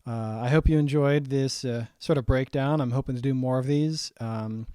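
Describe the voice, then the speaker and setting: A young man walking through his own saxophone arrangement in a casual, halting way, full of ums and restarts, his voice getting raspy. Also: medium pitch, mellow, no echo, studio quality. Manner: halting, many ums and restarts, thinking aloud. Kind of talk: casual screen-share breakdown.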